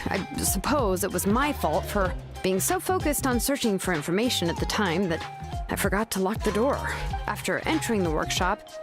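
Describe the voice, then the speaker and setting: An actress voicing a relaxed, frank young craftswoman and name engraver in a game, talking in an easygoing way. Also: mid-range voice, close to an everyday voice. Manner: relaxed, casual storytelling. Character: young woman craftswoman, laid-back and frank. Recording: game audio with clear background music.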